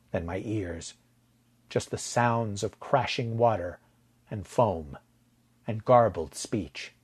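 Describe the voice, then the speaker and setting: A man with a husky voice narrating a story in a dramatic, deliberate way, letting phrase endings drop to a hush. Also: lowish voice. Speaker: audio fiction narrator.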